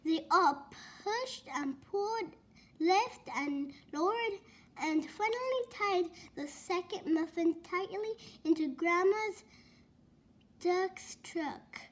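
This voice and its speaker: A female reader narrates a children's story in a very high, childlike voice. She takes it slowly, with halting stumbles and repeated words. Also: a bit bright.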